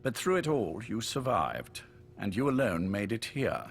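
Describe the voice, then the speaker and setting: An actor playing a courteous but menacing older spymaster in a video game, urbane, measured and wryly polite. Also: male voice, fairly low pitch, big pitch swings, creaky, faint music behind, exaggerated voice. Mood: grave, intense. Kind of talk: dramatic game voice acting.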